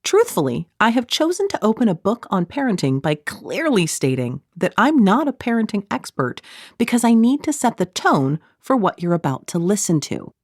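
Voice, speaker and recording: A middle-aged woman reading her own audiobook in a conversational, snarky way, with playful deadpan asides. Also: mid-range voice, moderate pace, no echo, studio quality. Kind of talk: scripted audiobook narration, conversational.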